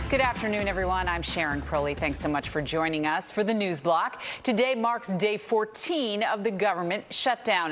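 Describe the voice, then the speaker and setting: A woman's voice: mid-range voice, a bit bright. An American woman working as a news anchor, reading a scripted newscast in a studio.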